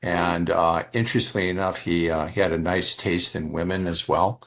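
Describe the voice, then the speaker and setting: A man's voice: low voice, fairly even intonation, moderate pace, a bit bright. A man making casual remarks and asking questions on an online group call, often restarting his sentences. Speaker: participant asking questions and commenting. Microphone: online call, off camera.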